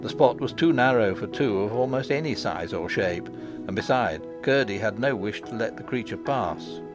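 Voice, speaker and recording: A man's voice: fairly low pitch, lively intonation, moderate pace, music in the background. A man reading a children's fantasy novel as scripted audiobook narration. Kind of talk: scripted storybook narration with character voices.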